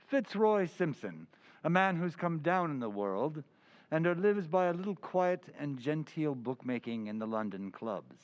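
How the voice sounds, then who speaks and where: A man playing an earnest, methodical police inspector in an old-time radio drama, giving formal, deliberate reports. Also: a little high, no echo, highs cut off. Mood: regretful, reporting. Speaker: community theatre actor. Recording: clear background noise.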